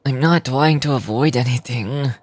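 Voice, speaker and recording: An actor playing a quiet, terse young man in a video game, subdued under scolding. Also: medium pitch, moderate pace, lightly acted. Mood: subdued, apologetic. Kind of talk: video game character acting.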